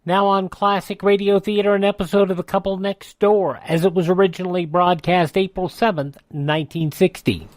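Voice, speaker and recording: A man's voice: high voice, fairly even intonation, normal speed, few pauses, dry recording. A male radio host reads scripted links and promotions. His voice sits in a dry, close studio sound.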